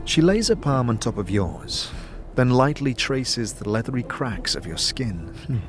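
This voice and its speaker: Man narrating atmospherically over clear background music. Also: medium pitch, big pitch swings, unhurried. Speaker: narrator and game master. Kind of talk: atmospheric second-person story narration.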